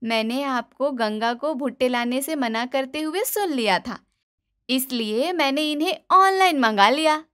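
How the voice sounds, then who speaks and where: A woman's voice: high voice, expressive, unhurried, a bit bright. A woman voicing a stubborn young daughter-in-law with whiny, dismissive protests in a cartoon moral story. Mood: breezy, defensive. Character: stubborn, breezy young daughter-in-law who loves corn.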